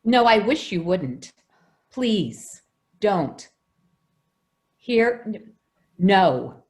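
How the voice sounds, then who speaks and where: A woman plays a gentle housekeeper in a radio play. The delivery is unhurried and very expressive. The character sounds protective and hesitant, soothing a child while anxious underneath. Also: medium pitch. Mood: guarded, uneasy. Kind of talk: old-time radio drama acting.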